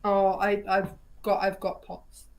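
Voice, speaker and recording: A woman's voice: medium pitch, monotone, takes it slowly, a little mellow, a little hiss or hum, rough recording. A woman guest who designs sewing patterns, cheerfully showing steps and chuckling as she talks. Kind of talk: casual demonstration chat.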